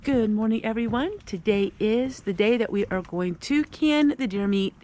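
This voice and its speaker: A woman's voice: a little high, moderate pace, darkish tone, a little hiss or hum. An older woman with an American accent, hosting a homesteading vlog and chatting to her viewers.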